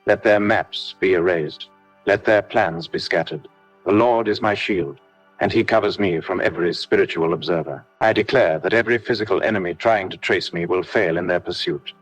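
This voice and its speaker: A man leading a scripted warfare prayer in solemn, emphatic, repeated declarations. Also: low voice. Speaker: prayer leader. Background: faint background music.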